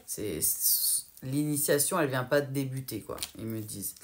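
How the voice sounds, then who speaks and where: A woman's voice: rumbling low, normal speed, very noisy. Rambling French woman reading tarot. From France. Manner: rambling, hesitant, talks as if relaying spirit guides. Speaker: tarot card reader. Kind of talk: casual spoken tarot guidance reading.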